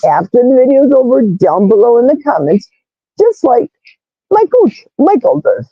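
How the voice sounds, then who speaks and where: A woman with a loud, raspy, brash voice hosting a casual livestream, reading chat comments aloud and greeting viewers. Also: on the high side, moderate pace, no echo, dull audio. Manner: animated, reads comments aloud, squeals greetings. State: hoarse, vocal fry.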